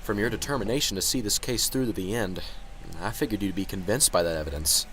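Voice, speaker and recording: A man's voice: lowish voice, fast, a bit bright, a little hiss or hum, lightly acted, rough recording. A man playing a nervous, unsure professor in an amateur radio drama, polite and hesitant. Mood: curious, unsure.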